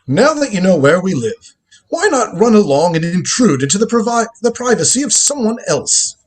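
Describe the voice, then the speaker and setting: A crotchety old inventor, acted by a man in a radio play, proud and imperious. The voice is high and husky, with theatrical swings in pitch as he lectures like a grand old grandfather. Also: big pitch swings, moderate pace, heavily acted. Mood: irritated. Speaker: lead actor in a live script reading. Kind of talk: live reading of a radio drama script.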